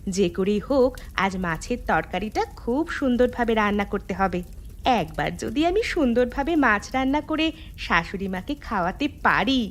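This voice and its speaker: A woman's voice: medium pitch, lightly acted. A woman voicing a scheming, jealous young daughter-in-law in a cartoon story, with a theatrical, gloating delivery. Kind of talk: Bengali cartoon storytelling voice acting. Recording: background music under voice.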